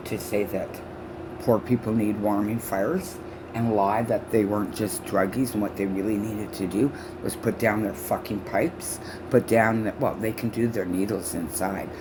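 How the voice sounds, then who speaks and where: A woman in her sixties from Canada ranting loudly and profanely to camera on her own channel, her speech halting from false teeth. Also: very deep voice, background noise. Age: 60s. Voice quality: loud voice. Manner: shouting, profane, accusatory. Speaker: vlogger. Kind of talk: angry rant to camera.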